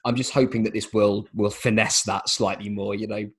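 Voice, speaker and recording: A young man with a slightly husky, breathy voice, co-hosting a podcast. He talks casually into a studio microphone and lands teasing jokes in a dry, deadpan way. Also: lowish voice, normal speed. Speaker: podcast co-host. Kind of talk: casual comedic chat.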